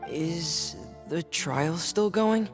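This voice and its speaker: A man's voice: on the high side, extremely slow. A man voicing a timid, dutiful teenage boy in a video game, with a hesitant, earnest and polite manner. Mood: earnest, reserved. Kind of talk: dramatic video game voice acting. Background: music.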